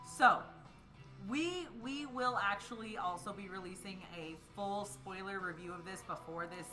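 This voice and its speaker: A woman with a bright, keen-edged voice co-hosts a casual movie show while tipsy. She chats in a loose, giggly, sweary way. Faint music plays behind her. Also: mid-range voice, measured pace. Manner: giggly, chatty. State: tipsy from beer.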